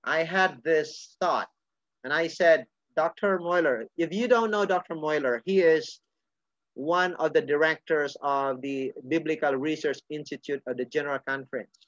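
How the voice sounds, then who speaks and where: A man's voice: a little high, moderate pace, a bit bright, dry recording. A middle-aged man preaching a sermon earnestly, often restarting and repeating phrases. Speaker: pastor. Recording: dull lo-fi sound.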